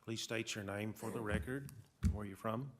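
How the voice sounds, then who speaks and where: A man chairing a county meeting, brisk and politely procedural. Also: fairly low pitch.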